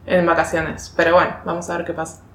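A woman's voice: lowish voice, completely flat delivery, fast, a bit bright. A woman with an Argentine accent chatting casually about films, rambling and a bit hesitant. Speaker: film commentator. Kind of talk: casual chat. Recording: roomy, echoing sound.

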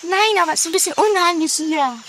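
Woman playing a very high-voiced flighty lady. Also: fast, a bit bright, soft background music. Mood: curious, uneasy. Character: gushing, flighty, curious, easily unsettled lady. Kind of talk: amateur comedy radio play acting. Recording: distant, faintly noisy amateur 1980s recording.

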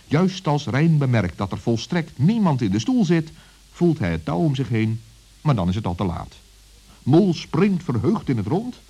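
A man's voice: medium pitch, warm tone, slightly rough. A man narrating a scripted children's story as a storyteller.

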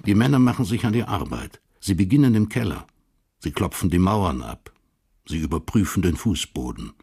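An actor as the sober narrator of a historical radio crime drama, reading in a factual, deliberate way. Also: male voice, sits low, lively intonation, creaky, a little mellow, slightly rough, exaggerated voice.

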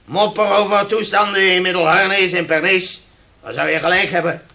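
Haughty male shipowner, radio drama; scolding, highish forceful voice with a gravelly edge. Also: steady tone, moderate pace, exaggerated voice. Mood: lecturing. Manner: lecturing, indignant, scolding. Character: haughty, hard-nosed shipowner, a man of authority. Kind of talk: Dutch radio play acting.

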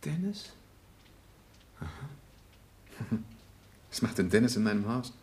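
An actor in a German radio play, playing a suave, flirtatious bachelor who talks with mocking politeness. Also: male voice, mid-range voice, sing-song, measured pace, darkish tone. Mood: sardonic. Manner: smooth politeness with mocking edge. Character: suave, sardonic bachelor charmer, flirtatious and probing.

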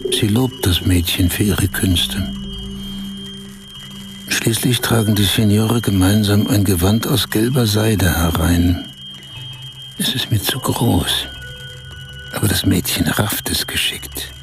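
An actor in a radio play as a man recounting, in measured detail, a strange dreamlike night of being pampered. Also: lowish voice. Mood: recounting, absorbed. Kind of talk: German radio play monologue. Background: music.

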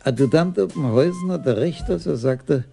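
A gravelly old commissioner. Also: male voice, on the high side, normal speed, mellow, faint music behind. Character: calm elderly police commissioner. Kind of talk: radio drama acting.

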